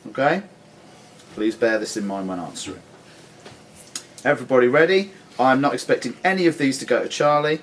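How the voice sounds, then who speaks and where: A man reading questions and giving rulings as quizmaster, deliberate and formal. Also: medium pitch. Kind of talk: reading quiz questions at a live club quiz. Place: sports and social club room. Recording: clearly noisy live club recording.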